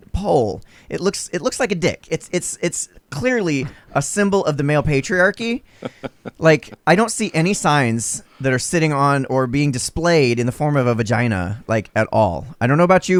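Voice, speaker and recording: A man's voice: mid-range voice, no echo. A man in his thirties hosts a casual podcast, delivering jokes in a mock-serious tone and laughing often. His voice sits in an ordinary middle register. The sound is close and dry, from a stand mic in a small home studio. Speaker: podcast host. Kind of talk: joking podcast banter. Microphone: studio mic on a stand, wearing headphones.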